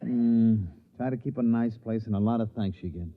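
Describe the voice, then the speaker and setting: A man's voice: lowish voice, moderate pace, close to an everyday voice. A man playing a friendly, homespun village tavern keeper, casual in manner, in a 1950s radio drama. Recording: thin, phone-like old radio recording.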